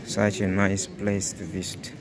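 Male vlogger, low-pitched, very slow delivery, phone-filmed mall tour with steady background noise. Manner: slow, naming brands one by one. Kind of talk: walking tour vlog narration. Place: large indoor shopping mall.

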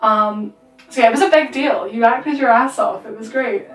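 Girl in her 20s hosting a chill chat, highish voice, roomy sound. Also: moderate pace, distant mic. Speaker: host, interviewing friends. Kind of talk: casual chat. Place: small bedroom indoors. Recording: roomy echo, off the mic, faint music.

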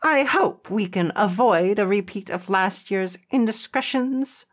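Man putting on a high, snappish female school principal. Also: measured pace, a bit bright. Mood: disapproving. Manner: snappish, prim. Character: short, stern, disapproving woman school principal.

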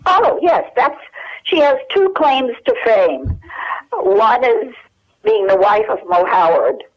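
Older woman, phone interview guest, lively up-and-down pitch, muffled phone sound. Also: vocal fry. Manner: reminiscing, many pauses and restarts. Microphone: telephone line. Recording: muffled telephone line.

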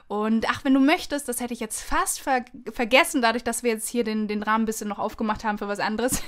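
A woman's voice: on the high side, a bit bright. A woman hosting a podcast in a casual, chatty and gushing manner. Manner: effusive, gushing. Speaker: podcast host.

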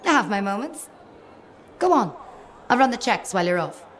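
An actress voicing a brisk, sardonic mechanic in a video game, with deadpan, teasing delivery. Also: female voice, medium pitch. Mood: dry, brisk. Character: dry, brisk, sardonic female suit mechanic. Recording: game audio with ambient background noise.

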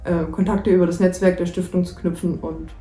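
Young woman, mellow voice, self-introduction, echoey room, faint music. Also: lowish voice, little pitch movement, fairly quick. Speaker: master's student.

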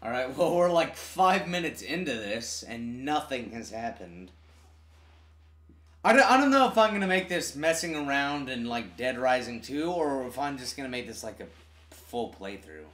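A man's voice: medium pitch, moderate pace. A young man giving casual, flustered gameplay commentary during a co-op video game session. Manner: excitable, easily flustered. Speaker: video game streamer playing co-op. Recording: loud game music behind. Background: game music and cutscene audio.

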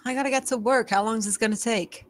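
A woman plays a guarded working-class mother in a drama read. Her voice is a little high and dryly recorded, and she answers in short, irritated, defiant lines. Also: fairly even intonation, fast, dry recording, studio quality, lightly acted. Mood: annoyed. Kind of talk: script table read acting.

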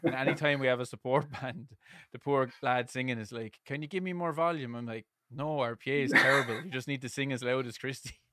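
A man in his thirties with an Irish accent, hosting a podcast from his home music room with a studio mic, talking loudly and energetically and laughing often. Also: mid-range voice, a little fast. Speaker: podcast host. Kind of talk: casual chat. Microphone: headset and studio mic.

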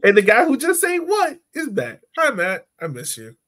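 A man's voice: very high-pitched, wildly swooping pitch, normal speed, fairly bright tone. A man in his thirties hosting a casual sports show over a video call, with a playful, teasing manner. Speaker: podcast host. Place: room at home, on a video call.

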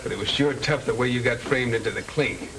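An actor playing a shifty, slangy small-time crook in 1930s film style, with an American accent. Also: male voice, mid-range voice, a little fast, creaky, a crowd in the background, close to an everyday voice, poor audio. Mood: sly, wheedling. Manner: slangy tough-guy talk. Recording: old 1930s film soundtrack, background murmur.

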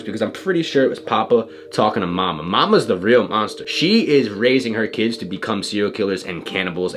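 A man in his twenties talking casually and excitably in slang to a camera in a bedroom. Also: lowish voice. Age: 20s. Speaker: vlogger. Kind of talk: casual reaction vlog. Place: small bedroom with desk.

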